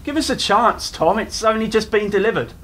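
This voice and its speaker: Man playing a higher-voiced, rambling eager young techie. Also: lively intonation, fairly quick, fairly bright tone. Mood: defensive. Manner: rambling, earnest, over-explaining. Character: eager, rambling younger male techie, Ollie, defensive and naive. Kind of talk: community radio play dialogue.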